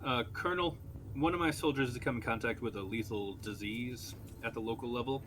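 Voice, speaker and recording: A man's voice: medium pitch, a little fast, a bit bright, slight background noise. A man playing a tabletop role-playing game, casually describing and speaking for his character. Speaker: tabletop role-play player. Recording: music playing behind the voice. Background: music.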